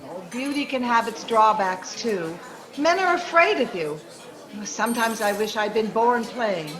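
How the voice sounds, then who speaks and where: Woman playing radio-play femme, flirtatious, wistful, fairly high voice, faint crowd behind. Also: expressive, a little slow, rough recording. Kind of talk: 1940s radio drama acting.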